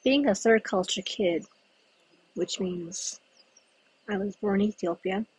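A solo podcaster talks outdoors, where the breeze is noticeable and people pass by. The voice is very husky and breathy, sitting at a middle pitch. The talk wanders loosely from one thought to the next, with pauses to think. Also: rough recording. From United States. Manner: chatty, meandering, thinks aloud with pauses. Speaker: podcast host. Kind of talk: rambling solo podcast chat. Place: outdoors in a breezy spot with passers-by.

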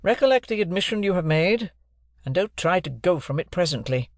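A man reads as a brusque middle-aged lawyer, stern and exacting, laying out terms in clipped, commanding phrases. The voice sits well above the reader's own and comes out louder and more pressing, with a dry, close sound and no room around it. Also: sits high, lively intonation, fairly quick, dry recording, voice pushed up. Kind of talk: audiobook character voice.

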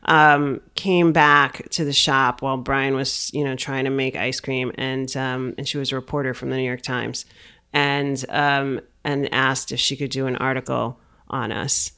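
Clear-voiced American woman, casual storyteller. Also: extremely low pitch, level tone, measured pace, sharp tone. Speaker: small business owner being interviewed.

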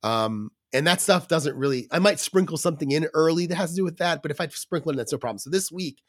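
A man's voice: fairly high pitch, quick pace, no room sound, studio quality. A man co-hosting a podcast in casual chat, his voice scratchy from a cold, rambling with fillers and restarts. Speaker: podcast co-host.

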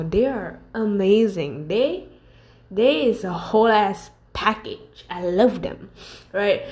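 A woman's voice: medium pitch, darkish tone. Swearing young woman rambling. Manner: swears freely, laughs often, rambling asides. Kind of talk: casual storytelling monologue. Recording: roomy echo.

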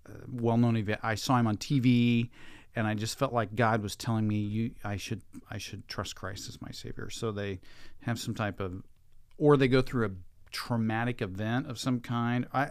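A man's voice: lowish voice, normal speed, smooth, no room sound, studio quality. A man talking informally as a pastor and podcast host in a conversational interview.

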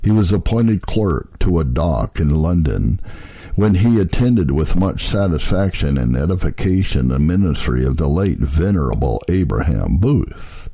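A man reading old historical texts aloud as a narrator, in a solemn, measured, formal way. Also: rumbling low, sing-song, gravelly, warm tone, breathy edge, no echo.

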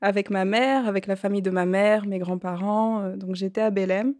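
A woman's voice: medium pitch, steady tone, brisk, a little mellow. A woman of about thirty from French Guiana and northern Brazil, a native Portuguese speaker, telling her story conversationally as an interview guest. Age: around 30.

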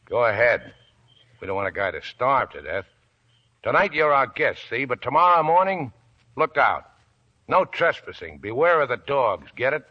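An actor playing a greedy, paranoid young prospector who snarls and mutters to himself, in an old radio play. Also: male voice, mid-range voice, sing-song, normal speed, pauses often. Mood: menacing. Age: young adult. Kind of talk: 1940s radio acting. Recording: dull lo-fi old radio recording.

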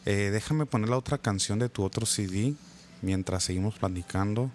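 Low-voiced man hosting live radio. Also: moderate pace. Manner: relaxed, chatty, religious asides. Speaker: radio host and music producer.

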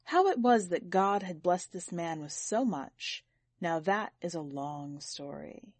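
A woman reading scripted narration for a literary fiction podcast in a measured, deliberate and expressive way. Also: lowish voice, no echo. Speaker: podcast host and story reader.

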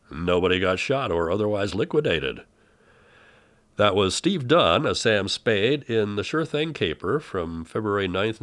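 Low-voiced wry male podcast host. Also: a bit bright. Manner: wry, tongue-in-cheek. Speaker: host of an old-time radio podcast. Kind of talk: conversational hosted commentary.

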